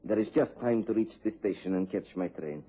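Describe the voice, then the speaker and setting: An actor playing a brave, fatalistic foreign informant in a radio drama, speaking with formal, solemn courtesy. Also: male voice, lowish voice, fairly quick, mellow, slight background noise, lightly acted. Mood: resolute. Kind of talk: BBC radio drama acting. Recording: tinny, band-limited vintage radio recording.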